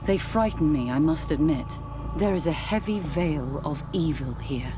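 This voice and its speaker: An actress playing a devout, troubled nun in a video game, speaking formally and with measured phrasing. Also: female voice, low voice, animated, background noise. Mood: uneasy, troubled. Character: uneasy, devout nun investigating evil in the wilds. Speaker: game character actor. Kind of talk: scripted video game dialogue.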